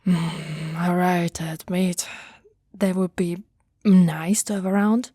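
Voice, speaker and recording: A woman's voice: fairly low pitch, little pitch movement, slow pace, mellow, slightly rough, dry recording, studio quality. A woman playing a grumpy, disdainful giantess with a blunt, grudging manner in an ASMR roleplay. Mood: reluctantly softening. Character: grumpy, disdainful giantess secretly softening toward tiny human pet.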